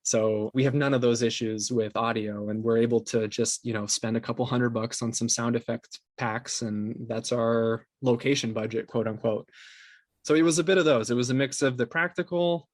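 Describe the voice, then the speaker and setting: Male interview guest, medium pitch, explains conversationally with trailing pauses and repetitions; dry sound. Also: no room sound. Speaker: interview guest, podcast co-founder and sound designer.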